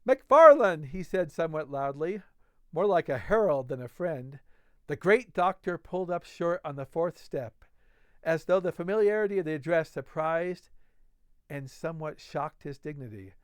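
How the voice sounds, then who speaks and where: A man's voice: on the high side, moderate pace, smooth, dry recording, studio quality. A man hosting a book-reading series, casually introducing and narrating classic stories.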